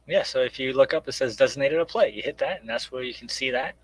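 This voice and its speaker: A man talking casually and haltingly as a guest creator plugging his project on a live stream. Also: medium pitch, husky. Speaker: guest comic creator promoting crowdfunded book and radio show.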